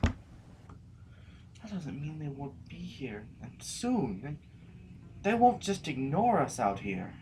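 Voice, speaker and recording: A woman acting an anxious, earnest comrade in a science fiction audio drama, speaking in a halting, pleading way. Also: deep voice, sing-song, darkish tone, slight background noise, full character voice. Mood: uneasy, subdued.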